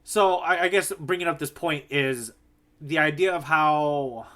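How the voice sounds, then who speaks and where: Bright-voiced man chatting as podcast co-host. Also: fairly high pitch, unhurried. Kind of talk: casual chat, manga discussion.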